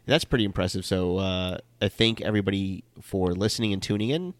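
A man with a very deep voice co-hosts a podcast, sounding stuffy as he gets over a cold. He rambles and halts, full of ums and uhs. The sound is close and dry. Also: no echo. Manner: rambling, halting, many fillers. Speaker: podcast co-host. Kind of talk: casual chat.

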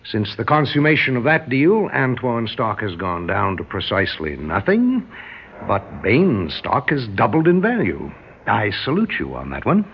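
A slick, smooth-talking schemer of a businessman, talks in an ingratiating way. His voice is husky and very animated. The 1960s radio drama recording sounds dull and old. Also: medium pitch, heavily acted. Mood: sly, smooth. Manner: chuckling, ingratiating, hesitant pauses.

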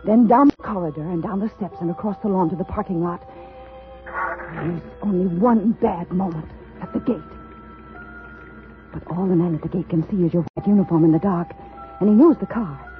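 An actress playing an unstable woman telling a story in an intense, halting, dramatic 1950s radio style. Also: lowish voice, narrow, tinny audio, close to an everyday voice. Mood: hushed, intent. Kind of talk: 1950s radio suspense acting. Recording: thin vintage radio recording with music.

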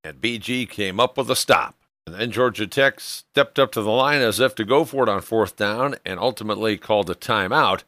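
A man hosts a sports recap show in scripted narration. His voice sits a little low and rings bright and forceful, recorded close and dry as he walks through the game. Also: moderate pace, no echo.

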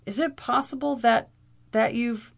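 A woman voicing a cowardly young gentleman in a storybook reading, whimpering, gasping and pleading. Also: high voice, slow, pitched above the performer's own voice, full character voice. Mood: terrified pleading.

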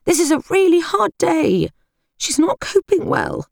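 A woman's voice: very high-pitched, big pitch swings, a little slow, gravelly, no echo, studio quality, pitched above the performer's own voice, heavily acted. A British woman voicing a dutiful, protective young woman in an audiobook, earnest and pleading. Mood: upset, protective.

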